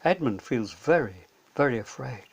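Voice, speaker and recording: A man's voice: medium pitch, animated, normal speed, vocal fry, husky, low-quality recording. A man narrating a scripted children's story as a storyteller.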